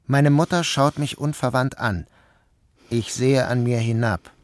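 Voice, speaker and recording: A man narrating a literary novel in the first person, in a measured, subdued, dreamlike tone. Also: medium pitch, dry recording. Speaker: audiobook narrator.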